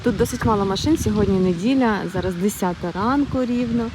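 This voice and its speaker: A woman's voice: medium pitch, brisk, very noisy. A woman narrating a casual family vlog as she walks through an outdoor park, talking to her camera. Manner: chatty, tour-guide narration to camera. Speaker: vlogger, mother.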